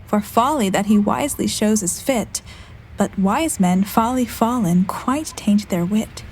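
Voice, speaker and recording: A woman's voice: medium pitch, unhurried, a little mellow, slight background noise, natural voice, poor audio. Woman acting a courteous young heroine disguised as a youth. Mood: reflective. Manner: articulate, courteous, formal verse delivery. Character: witty young woman disguised as a young male servant. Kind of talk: Shakespearean audio play acting.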